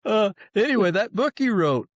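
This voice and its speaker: A man hosting a podcast and interviewing casually, informal and unhurried, on a slightly dull recording. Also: high-pitched, sing-song, no echo. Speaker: podcast host.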